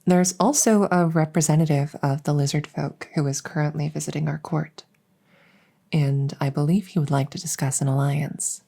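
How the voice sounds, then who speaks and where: A woman voicing a devoted, gentle vampire maid in an ASMR roleplay, soothing and polite, close to the mic. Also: deep voice, fairly even intonation, normal speed, creaky. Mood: dutiful, gentle. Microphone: close stereo condenser mic.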